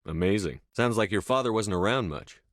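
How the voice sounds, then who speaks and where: A man acting a stern, steady space-navy commander in a video game, with a measured, dry, authoritative delivery. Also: lowish voice, dry recording, lightly acted. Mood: dry, teasing.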